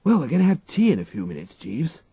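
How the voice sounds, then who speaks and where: Audiobook role: an excitable English toff, young and flustered, pitch leaping high, full of exclamations. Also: male voice, quick pace, breathy edge, no room sound, heavily acted. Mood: bashful, uneasy. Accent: upper-class English. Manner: hesitant, exclamatory, prone to dated slang.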